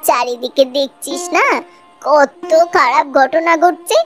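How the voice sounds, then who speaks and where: A tired, affectionate old cartoon grandmother, acted by a woman. She talks in a slow, world-weary manner. Faint music plays behind her. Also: high-pitched. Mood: gloomy. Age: older. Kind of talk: cartoon dialogue. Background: background music.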